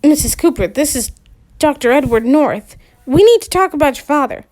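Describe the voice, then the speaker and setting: A woman reads as a brisk, businesslike male doctor who gets straight to the point. The voice stays fairly high and lively, clipped in its delivery.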